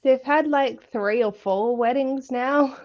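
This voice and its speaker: A woman's voice: high-pitched, slow pace, muffled recording. A woman co-hosting a casual online book chat, blunt and slangy, with easy swearing. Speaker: co-host of an online book discussion.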